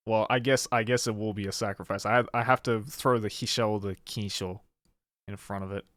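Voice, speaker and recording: A man streaming casually as he learns a board game, hesitant and self-deprecating, quick to laugh. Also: on the low side, steady tone, a bit bright, no echo.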